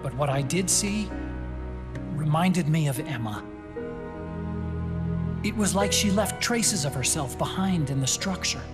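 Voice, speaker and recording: A man's voice: a little high, animated, unhurried, long pauses. A man playing an earnest, thoughtful scientist and concerned friend in a video game cutscene, speaking in an explanatory way. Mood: earnest, reflective. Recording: loud music behind the voice.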